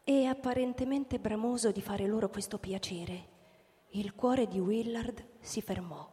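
A woman narrates a literary radio drama in a fairly high, mellow voice. The sound carries a long hall reverb. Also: measured pace.

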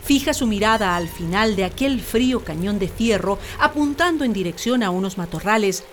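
A woman's voice: medium pitch, animated, normal speed, slight background noise, close to an everyday voice. A woman playing the storyteller of a horror legend, narrating a radio drama solemnly and suspensefully. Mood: solemn, ominous.

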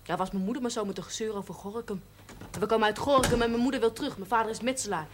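Brief: actress as a brash young Dutch miner's daughter, cheeky, rapid-fire talk, faint hiss. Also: female voice, fairly high pitch, lightly acted. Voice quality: brash, cheeky, quick-tongued. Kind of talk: 1970s TV drama acting.

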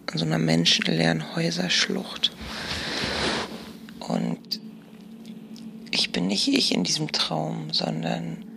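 An actress playing a drowsy woman who dictates her dreams in halting, breathy, croaky murmurs for a radio drama. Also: low voice, bright, forceful tone, heavily acted. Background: music.

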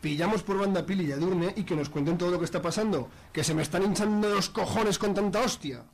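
A man's voice: high voice, fast talker. A man playing a blunt, fed-up, foul-mouthed friend in a radio soap, with a Spanish accent. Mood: exasperated.

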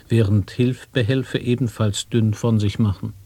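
An earnest speaker of nonsense in an experimental radio drama. He recites formally at a slow, measured pace. The recording is very dry. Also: fairly low pitch, steady tone, warm tone, no echo. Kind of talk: avant-garde radio play recitation of nonsense wordplay.

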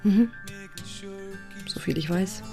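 A woman playing a cool, quietly reproachful wife in a German radio drama, clipped and restrained. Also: mid-range voice, slow pace, soft background music.

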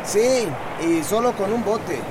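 High-voiced volunteer over loud noise. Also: male voice, slow. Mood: wary, willing. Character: wary but willing male boat volunteer. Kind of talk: radio soap opera acting. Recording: very loud outdoor engine noise.

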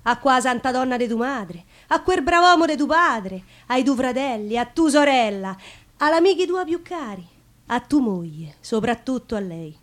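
A woman's voice: high-pitched, expressive, normal speed. An actress with a Roman accent playing a passionate, generous yet wounded woman in love in a theatrical monologue. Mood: fervent. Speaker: stage actress.

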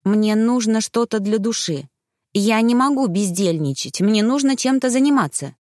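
A woman voicing a playful young wife in an audiobook, coy and theatrical, quick to take offense. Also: a little high, dry recording, clean studio sound, pitched above the performer's own voice, lightly acted. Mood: indignant. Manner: theatrical, coy, sharp when crossed.

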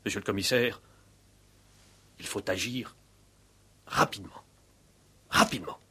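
A man's voice: on the low side, unhurried, bright, forceful tone, slightly rough, heavily acted. An actor playing a worried village mayor in a radio play, flustered and self-important, stammering and repeating his words. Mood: anxious, urgent. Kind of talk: 1960s French radio play acting.

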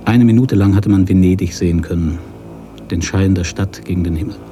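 A man narrating a literary radio drama from a script in a measured, sober manner. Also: low-pitched, music in the background.

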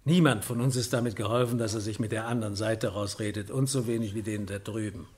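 An actor playing an impatient, sceptical police inspector who questions probingly in a radio drama. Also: male voice, fairly low pitch, steady tone, quick pace, darkish tone, slightly rough.

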